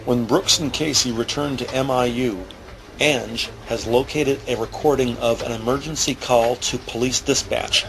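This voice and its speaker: A man's voice: medium pitch, fairly even intonation, normal speed, rough recording. A man reading scripted descriptive narration for an audio drama.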